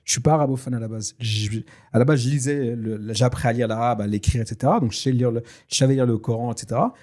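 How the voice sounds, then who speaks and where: A man whose first language is Tashelhit, telling a personal story in a casual, lively way with many fillers and restarts. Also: mid-range voice, fast talker. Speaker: guest telling his story. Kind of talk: casual spoken testimony.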